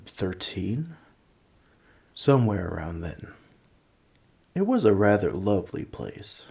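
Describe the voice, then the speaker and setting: A man voicing an ancient, gentle, nerdy dragon in an ASMR roleplay, rambling in a politely formal, scholarly way. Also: lowish voice, very animated, slow, vocal fry, slightly rough, heavily acted. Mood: fond, musing. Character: ancient, gentle, nerdy male dragon who collects coins. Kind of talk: scripted ASMR roleplay, speaking to the listener.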